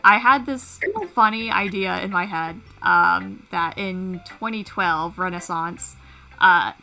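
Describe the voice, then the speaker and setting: A woman chatting casually on a livestream, hesitant and giggly, with many small pauses. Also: on the low side, steady tone, gravelly, pushed and bright. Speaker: livestream collaborator. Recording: music behind the voice.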